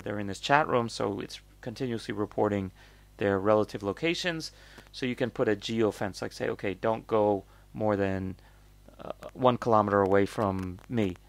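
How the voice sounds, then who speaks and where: A man's voice: lowish voice, gravelly, dry recording. A man giving casual, off-the-cuff commentary as a review host, hesitant and thinking aloud as he hedges his points. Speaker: host reviewing crowdfunding projects.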